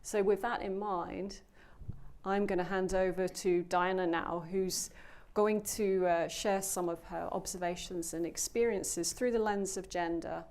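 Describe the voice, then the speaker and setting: A woman's voice: fairly low pitch, steady tone, a little slow, darkish tone. A woman introducing a panel to a live audience, speaking earnestly and emphatically with frequent fillers. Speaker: panel moderator, program founder. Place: event room with an audience.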